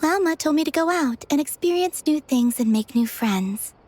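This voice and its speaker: A woman playing a serene, innocent young maiden in a video game, gentle, guileless and matter-of-fact. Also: sits high, animated, normal speed, slight background noise.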